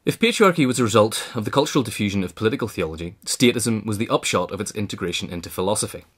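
A man reading scripted nonfiction narration as an audiobook reader. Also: fairly low pitch. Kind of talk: scripted narration of a political history essay.

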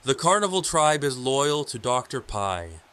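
An actor playing a solemn, stern hermit keeper of a sacred order, speaking formally in an audio drama. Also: male voice, mid-range voice, big pitch swings, unhurried, a bit bright, smooth. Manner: grave, portentous, formal.

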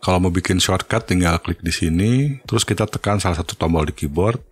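A man giving an informal, step-by-step software tutorial. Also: low-pitched, fairly quick. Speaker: tutorial host.

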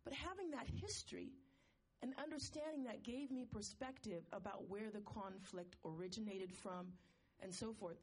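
A woman in the audience of a panel discussion, making a long, earnest comment in a measured way. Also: mid-range voice, darkish tone, breathy edge. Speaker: audience member asking a question. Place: conference hall audience.

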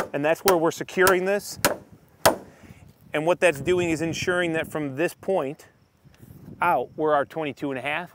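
A man in his thirties casually explaining his building work as he does it, relaxed and chuckling now and then, recorded outdoors on a windy site. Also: on the high side. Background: wind and machinery.

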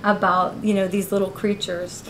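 A woman in her forties giving a gallery talk about her own artworks, candid and quick to laugh, with many "you know"s. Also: medium pitch, flat delivery, fairly bright tone. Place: college art gallery. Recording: roomy live recording with faint noise.

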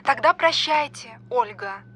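A woman's voice: fairly high pitch, lively intonation, measured pace, fairly bright tone, a little hiss or hum. A woman acting in an audio drama as a callous, flippant, fame-hungry character on a phone call, mocking and breezy. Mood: dismissive.

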